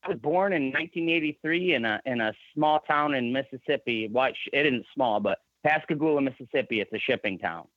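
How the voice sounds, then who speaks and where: A man's voice: medium pitch, a little fast, few pauses, dry recording, highs cut off. A man in his thirties with a Michigan accent, a guest telling his story in a rambling, casual way. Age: 30s. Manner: rambling, restarts often. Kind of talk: casual interview.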